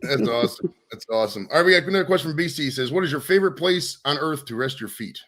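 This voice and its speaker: A male host who talks fast and sounds upbeat as he passes along viewer questions. His voice is bright and forceful. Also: on the high side, animated. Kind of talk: live interview show hosting.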